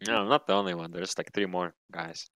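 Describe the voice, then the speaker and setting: Young adult male gamer from Latvia; low pitch, crisp delivery, rambling casual answers. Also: flat delivery, a little fast, pushed and bright, no echo. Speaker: professional esports player. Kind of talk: casual interview chat.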